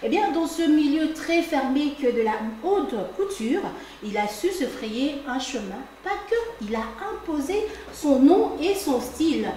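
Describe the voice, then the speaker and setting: High-voiced woman host, very echoey room. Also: big pitch swings, measured pace, few pauses, mellow. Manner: playful, teasing. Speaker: talk show host. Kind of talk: talk show introduction and interview. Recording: very echoey room with faint noise.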